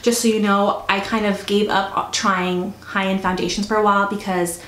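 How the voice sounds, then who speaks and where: A woman with a cold talking casually through a makeup review, chatty and soft-spoken, sometimes close to a whisper. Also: medium pitch, flat delivery, moderate pace. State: stuffy, fighting a cold. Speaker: beauty vlogger. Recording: echoey room, faint noise.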